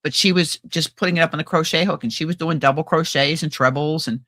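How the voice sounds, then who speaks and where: Livestream co-host, woman, low but bright voice, lively chatter, dry close mic. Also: quick pace, no echo. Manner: chatty, animated, trails off and restarts. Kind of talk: casual chat. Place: home livestream.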